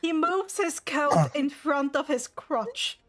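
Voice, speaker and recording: High-pitched woman running a tabletop game, unhurried. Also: expressive, fairly bright tone. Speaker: game master of a tabletop role-playing game. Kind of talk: narrating and refereeing a tabletop game.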